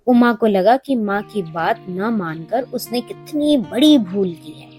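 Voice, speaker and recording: A woman narrating a scripted moral story for children in an expressive storytelling manner. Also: mid-range voice, slow pace, soft background music.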